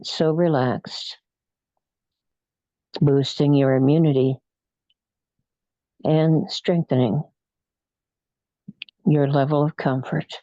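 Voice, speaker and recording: A person leading a guided meditation in a soothing, unhurried, hypnotic manner with frequent pauses. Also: mid-range voice, fairly even intonation, dark tone, no room sound, muffled recording. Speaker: guided imagery meditation leader.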